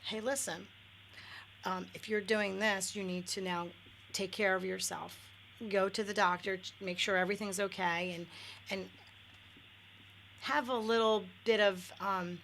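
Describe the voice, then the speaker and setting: A woman's voice: medium pitch, gravelly, fairly bright tone, slight background noise. A middle-aged woman, a guest on a radio talk show, talking candidly with lots of fillers and restarts. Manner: candid, many fillers and self-corrections. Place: radio studio.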